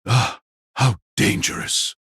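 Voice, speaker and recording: A man's voice: takes it slowly, fairly bright tone, dry recording, studio quality. A man playing a fierce, coldly amused martial artist in an audio drama, with a taunting, composed delivery. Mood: amused regret.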